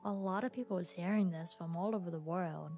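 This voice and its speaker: A young woman hosting her own podcast, tired, chatting casually with pauses and soft laughs. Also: fairly low pitch. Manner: soft, rambling, frequent pauses and little laughs. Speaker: podcast host and streamer. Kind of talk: casual solo podcast chat. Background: soft background music.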